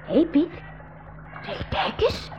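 High-voiced, spirited girl adventurer. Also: wildly swooping pitch, slow pace, darkish tone, exaggerated voice. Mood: excited. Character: spirited, impulsive young girl, eager adventurer. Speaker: radio play actor. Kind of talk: 1980s Dutch-language school radio drama acting. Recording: dull, noisy old radio recording.

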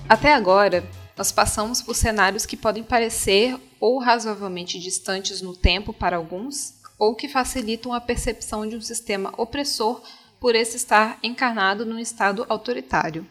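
Woman, Brazilian accent, higher voice, podcast presenter, scripted narration, articulate, opinionated. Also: moderate pace, fairly bright tone, soft background music.

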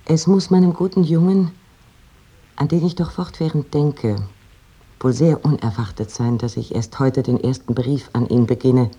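An actor as a very mellow, husky letter writer. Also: male voice, mid-range voice, moderate pace, natural voice. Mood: fond, wry. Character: affectionate, self-mocking poetic letter writer addressing a dear boy. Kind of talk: literary letter reading in radio play.

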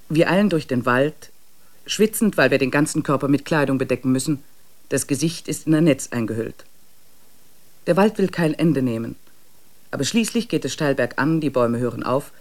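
A man playing a traveler who narrates his diary in a measured, reflective way in a radio play. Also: a little high, lightly acted.

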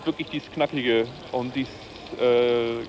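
A man's voice: medium pitch, fairly even intonation, slow pace, crowd noise, poor audio. A man chatting casually and tentatively, groping for words as he describes things. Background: clear music.

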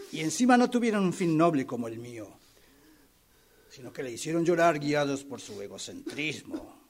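A man's voice: a little high, darkish tone, lightly acted. A man acting in a radio drama workshop as a menacing yet soothing character, insistent and self-justifying, addressing his listener formally.

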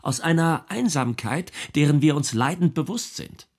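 An actor playing an earnest, high-minded male intellectual in a literary radio drama, with a lofty, declamatory and professorial way of talking. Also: animated, normal speed, darkish tone. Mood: solemn, fervent. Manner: lofty, declamatory, abstract phrasing.

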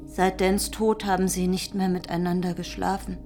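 A woman's voice: on the low side, flat delivery, moderate pace, warm tone, faint music behind, close to an everyday voice. An actress in a German radio drama playing an anxious but defiant farm woman, earnest and insistent. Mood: subdued, pleading.

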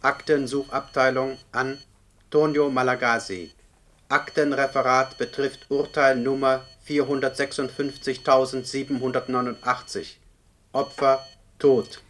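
Actor as a monotone bureaucratic computer. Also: male voice, mid-range voice, measured pace, natural voice. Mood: impassive. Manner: flat, mechanical, clipped officialese. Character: impersonal bureaucratic computer reading out data messages. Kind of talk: German radio play acting.

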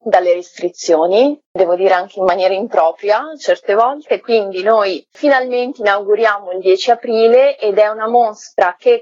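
A woman's voice: medium pitch, darkish tone, no echo. A woman curator explaining an exhibition as a guest in a radio interview. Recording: dry, dull lo-fi call.

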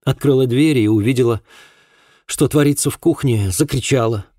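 Male narrator as young maid woman, plaintive, anxious, halting, raised pitch, husky, audiobook dialogue. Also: mellow, dry recording, clean studio sound, lightly acted. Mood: agitated, aggrieved. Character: distressed young housemaid woman recounting an assault.

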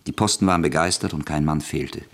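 A man narrating a literary radio play as a scripted storyteller, with a husky voice. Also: very deep voice, fast, a little hiss or hum.

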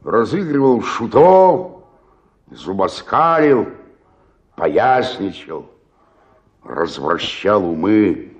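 An actor playing a despairing, self-pitying old provincial actor in a radio play, declaiming and then sinking into murmurs. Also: male voice, fairly low pitch, lively intonation, extremely slow, long pauses, muffled recording. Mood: bitter, disillusioned. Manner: declamatory, swinging between outburst and murmur. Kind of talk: radio play dramatic monologue.

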